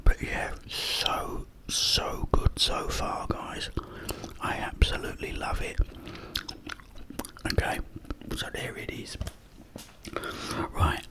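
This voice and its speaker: A man whispering up close in an unhurried ASMR ramble, with breathy delivery and hesitant pauses. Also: deep voice. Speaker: ASMR host. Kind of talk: ASMR whispered ramble about a video game. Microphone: close-up microphone.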